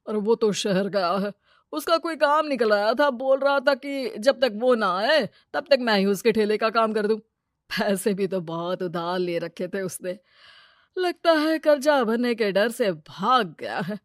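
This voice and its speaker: A woman's voice: mid-range voice, animated, a little slow, dry recording, studio quality, natural voice. A woman voicing a greedy, scheming village moneylender, a brusque and bossy husband in a cartoon moral story. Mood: brusque, pushy.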